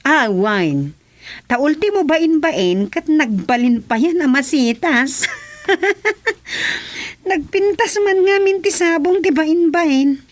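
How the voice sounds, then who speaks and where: High-voiced chatty Ilocano townswoman. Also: animated, slow, no echo. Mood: amused, agreeing. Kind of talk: Ilocano radio comedy drama dialogue.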